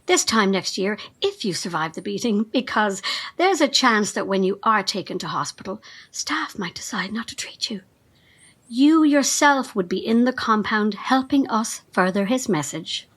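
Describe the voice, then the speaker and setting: Husky, menacing cult devotee. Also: female voice, medium pitch, animated, fairly bright tone. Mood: calm menace. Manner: measured, veiled threats, reverent. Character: fanatical female cult assistant, calmly menacing, rapturously devoted. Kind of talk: audio drama dialogue.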